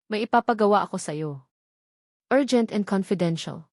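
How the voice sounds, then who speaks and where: A woman's voice: medium pitch, normal speed, darkish tone, no echo, lightly acted. A woman plays a hard-edged businessman in an audio love drama. He is cold and commanding, with no doubt in his delivery. The recording is dry and close. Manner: commanding, unwavering, code-switching between Tagalog and English.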